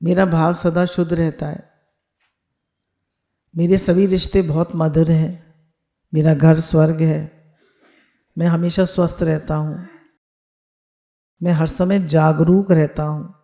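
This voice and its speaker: A woman's voice: low voice, little pitch movement, slow, long pauses, mellow. A woman spiritual teacher on a video call, leading listeners through affirmations they repeat after her, mixing Hindi with English phrases.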